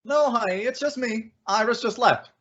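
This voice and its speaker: A man's voice: very high-pitched, normal speed, fairly bright tone, breathy edge, heavily acted. A man playing an eager, doting husband and father-to-be in an old-time radio sitcom, with a coddling manner. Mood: tender, teasing. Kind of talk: 1940s radio sitcom acting. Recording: muffled old-time radio style recording.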